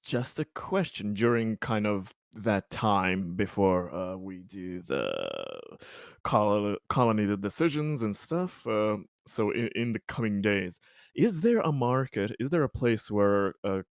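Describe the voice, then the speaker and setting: A man's voice: fairly low pitch, very animated, measured pace, no echo. Man chatting casually, very dry sound. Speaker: tabletop game player. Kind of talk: casual chat during a roleplaying game.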